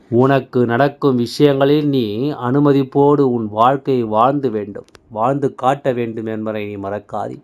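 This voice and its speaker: The smooth, mid-pitched voice of a man on a morning devotional channel, urging each listener on like his own child. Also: a little mellow. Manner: exhorting, repetitive, addressing listener as a child. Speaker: devotional channel speaker delivering messages in a deity's voice. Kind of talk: spiritual motivational monologue.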